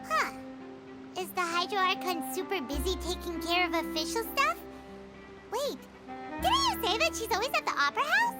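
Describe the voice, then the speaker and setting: A woman voicing a small, excitable, curious childlike fairy companion in a video game, squeaky and exclamatory. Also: moderate pace, over loud music. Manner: refers to herself in the third person, exclamatory.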